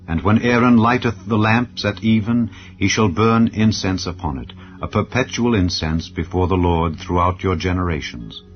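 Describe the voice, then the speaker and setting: Male scripture narrator, very low register, measured and grave delivery, slightly dull lo-fi sound. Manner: solemn, measured, formal delivery. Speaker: audiobook narrator. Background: background music.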